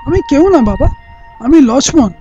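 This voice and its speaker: An actor playing a humble, reluctant temple bell-ringer in an audio play, meek and pleading. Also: male voice, extremely high pitch, lively intonation, fairly quick, dark tone, soft background music. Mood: anxious, pleading. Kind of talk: theatrical radio-play acting. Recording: muffled lo-fi audio-play recording.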